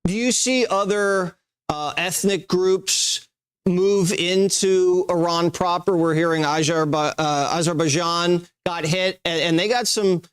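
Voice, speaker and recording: A man hosting a TV news interview who asks questions briskly with a wry touch. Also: high voice, clear, resonant tone. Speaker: TV news host.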